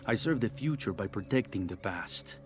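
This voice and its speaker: Give the game a proud exiled gambler, played by a man, with a low voice and a measured delivery. He philosophizes and teases like someone who knows the rules better than you, with music under him. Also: lively intonation, close to an everyday voice. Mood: earnest. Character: proud cast-out man, sly dice player turned rebel informant. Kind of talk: video game cutscene acting.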